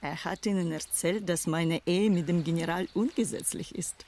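An actress in a dubbed film thriller playing a proud, world-weary former madam turned respectable wife, disdainful and wistful in manner. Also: female voice, lowish voice, moderate pace, darkish tone, a little hiss or hum, close to an everyday voice. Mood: disdainful, defensive.

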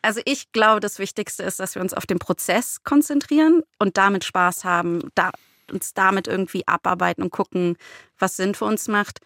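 A woman's voice: mid-range voice, normal speed, fairly bright tone, no echo. A woman co-hosting a podcast in a studio, chatting casually in informal, slangy language. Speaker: podcast co-host and screenwriter. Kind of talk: casual chat.

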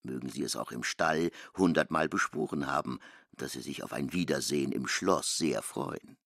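An actor playing a solemn, deliberate narrator in a literary radio drama. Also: male voice, extremely low pitch, vocal fry, fairly bright tone, no room sound, clean studio sound, heavily acted. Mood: solemn recounting.

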